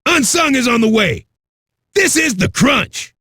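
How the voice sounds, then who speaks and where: A foul-mouthed, hyped-up rock radio DJ for a video game. His voice is raspy and very high for a man, with a forceful edge. He swaggers through every line like an aggressive party host, in a close, dry sound. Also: big pitch swings, unhurried, no echo, full character voice. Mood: rowdy excitement. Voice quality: raspy, gravelly. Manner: aggressive, rowdy, swaggering delivery.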